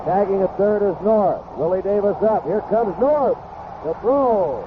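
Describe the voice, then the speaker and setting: A man's voice: high-pitched, animated, unhurried. An American man calling live baseball play-by-play on the radio in short, clipped phrases that follow the action. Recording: noisy, tinny 1970s AM radio broadcast.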